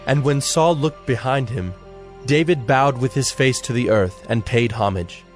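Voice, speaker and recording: A man reading scripted Bible story narration in a measured, solemn manner. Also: mid-range voice, faint music behind.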